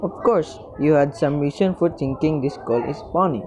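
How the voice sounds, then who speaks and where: A woman's voice: sits low, normal speed, lightly acted. A woman playing a brusque, dismissive, busy police desk officer in an amateur radio play, on a dull, slightly noisy recording. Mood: casual, condescending. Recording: dull lo-fi audio with faint noise.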